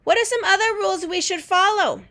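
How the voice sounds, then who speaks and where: A woman's voice: extremely high pitch, fairly quick, sharp tone, voice pushed up, exaggerated voice. A woman voicing a kind but firm first-grade teacher in a storybook, speaking in an instructive classroom manner. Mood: inviting.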